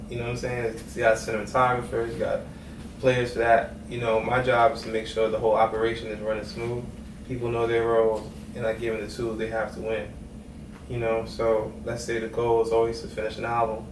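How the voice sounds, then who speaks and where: A man from Trinidad and Tobago, raised in Brooklyn, a music executive talking casually in an interview with a laid-back manner. He is recorded from a distant mic in an echoey room with noise. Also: medium pitch. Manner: laid-back, conversational, many "you know what I mean".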